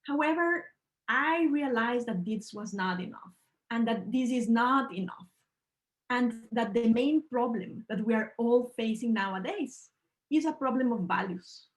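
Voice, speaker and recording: Earnest woman from Ecuador presenting. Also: on the high side. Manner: earnest, measured, slightly non-native phrasing. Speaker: panel speaker. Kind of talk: online presentation talk. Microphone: video call.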